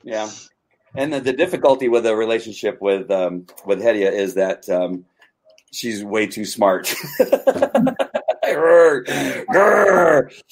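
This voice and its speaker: A man's voice: fairly low pitch, expressive, takes it slowly. A man hosting a casual livestream chat, speaking in an earnest, playful and hesitant way. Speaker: host.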